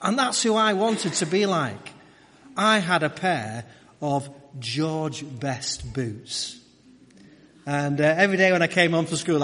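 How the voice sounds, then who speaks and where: A middle-aged man preaching a conversational sermon, halting and repeating his phrases as he goes. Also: a little high, a bit bright. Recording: echoey hall with long reverb.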